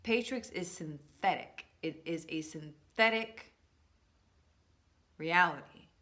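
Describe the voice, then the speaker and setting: A woman talking to her viewers in a casual, rambling monologue, slowing down to stress words and restarting her sentences often. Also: fairly low pitch, fairly bright tone. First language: English. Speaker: vlogger.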